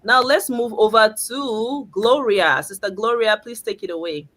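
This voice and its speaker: A woman's voice: fairly high pitch, measured pace, fairly bright tone. A woman hosting a live video call, talking in an upbeat, motivational way. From Canada. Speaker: host. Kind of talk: live stream group chat.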